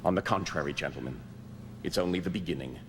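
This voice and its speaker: A man playing a greedy, hard-headed adventurer in a theatrical old time radio drama style, excitable and grimly urgent. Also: sits low, quick pace, background noise, natural voice. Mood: ominous.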